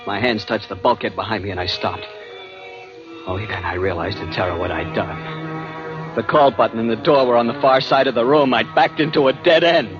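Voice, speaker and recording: Casting: male actor as haunted young seafaring adventurer, low dramatic voice, vintage radio drama with prominent music. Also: muffled recording, natural voice. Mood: mounting dread. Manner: dramatic, suspenseful, deliberate delivery. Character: haunted, guilt-ridden young adventurer narrating his terror at sea. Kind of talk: 1940s-50s radio drama narration and acting.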